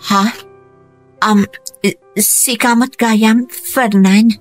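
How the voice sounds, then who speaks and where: A woman's voice: fairly high pitch, steady tone, very slow, no echo, soft background music. An actress with a Filipino Ilocano accent playing an earnest woman in a rural family radio drama. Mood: regretful, plaintive.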